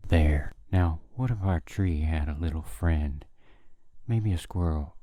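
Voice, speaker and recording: A man giving soothing, unhurried narration in the manner of a gentle lesson. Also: very deep voice, slight background noise.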